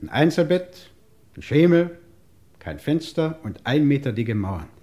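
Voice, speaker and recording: An actor playing a brisk, impatient French police inspector, curt and sardonic, in an old German crime radio play. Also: male voice, on the high side, sing-song, mellow.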